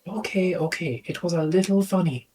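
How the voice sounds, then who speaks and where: A woman voicing a sensible, mildly exasperated female engine in a children's story, husky and chiding, slightly distant from the microphone. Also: fairly low pitch, normal speed, soft background music, close to an everyday voice. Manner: animated, chiding.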